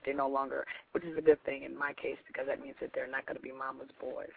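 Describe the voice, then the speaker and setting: A woman's voice: very deep voice, level tone, quick pace, creaky, husky. A woman from Michigan, a congested author guest with a head cold, talking in a friendly, forthright way. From Michigan, USA. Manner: friendly, forthright, easy laughter. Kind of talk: phone-in interview. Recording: tinny phone line.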